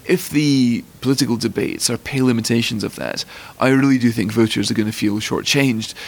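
A man with a posh Scottish accent, a magazine editor giving measured, articulate interview answers. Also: mid-range voice, vocal fry. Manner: articulate, fluent, considered.

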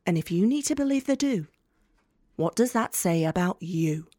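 An actress playing a defiant, bitter young student with a Northern English accent in an audio drama monologue, blunt and sweary. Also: female voice, lowish voice, animated, normal speed, mellow, no echo, studio quality. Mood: scornful, impassioned. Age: young adult. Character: defiant, bitter young British woman student, sharp-tongued and sardonic.

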